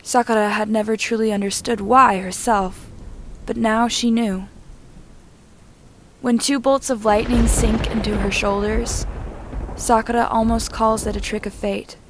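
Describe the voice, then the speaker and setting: A woman reading fan fiction aloud as an amateur audiobook narrator, in a measured, deliberate manner with short phrases. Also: fairly high pitch, fairly even intonation, slight background noise, poor audio.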